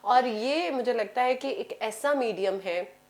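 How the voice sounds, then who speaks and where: A woman's voice: a little high, slow. A Pakistani woman speaking as a guest in a casual talk show conversation, slipping English phrases into her talk. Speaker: guest, voice-over artist.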